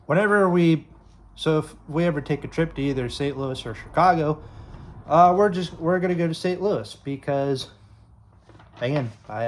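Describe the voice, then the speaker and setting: Young guy from illinois, chatty vlog ramble, lots of uhs, mid pitch voice. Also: darkish tone, slight background noise. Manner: rambling, many fillers and restarts. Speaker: YouTube sports creator. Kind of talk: casual talk to camera.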